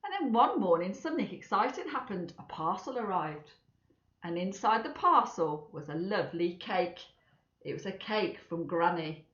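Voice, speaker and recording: A woman's voice: fairly low pitch, big pitch swings, normal speed, fairly bright tone. A woman reading a children's story aloud expressively, with chatty asides to young listeners. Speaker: storybook reader for schoolchildren. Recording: echoey room, slightly dull sound.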